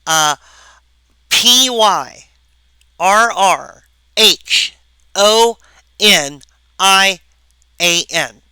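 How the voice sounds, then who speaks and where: A woman giving a recorded philosophy lecture, halting and full of wry asides to the viewer. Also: medium pitch, animated, pushed and bright, smooth, no room sound, rough recording.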